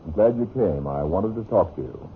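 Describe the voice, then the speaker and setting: A low-voiced, earnest businessman in a vintage radio play. His intonation is very animated, on a thin recording with light hiss. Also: normal speed, narrow, tinny audio. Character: earnest businessman addressing his longtime partner. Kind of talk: old-time radio drama acting. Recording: hissy, band-limited vintage radio recording.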